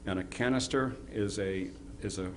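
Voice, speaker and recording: A man giving an informal historical introduction as presenter, halting and often repeating words as he goes. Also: lowish voice, background noise. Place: live presentation before an audience.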